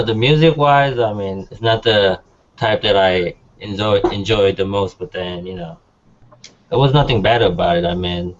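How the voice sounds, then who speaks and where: A man chatting casually and informally as a panelist on a talk show. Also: low-pitched. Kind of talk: casual chat. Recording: dull, slightly noisy lo-fi sound.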